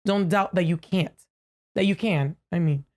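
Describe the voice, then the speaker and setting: Earnest woman podcaster with a deep voice. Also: darkish tone. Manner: earnest, halting with many restarts. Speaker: podcast co-host. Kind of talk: casual heartfelt chat. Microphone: podcast microphone, no camera.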